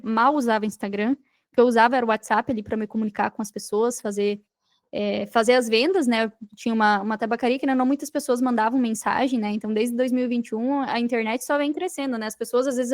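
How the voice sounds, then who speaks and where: High-pitched Brazilian woman telling her story. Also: fairly even intonation, fast talker, no echo, clean studio sound. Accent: Brazilian Portuguese. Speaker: podcast guest, digital marketing entrepreneur. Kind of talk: conversational interview storytelling.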